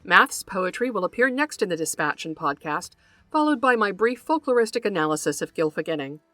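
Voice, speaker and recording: Woman podcast host, scripted and measured. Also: mid-range voice, big pitch swings, pushed and bright, no echo, soft background music. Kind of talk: scripted narration, introducing a guest.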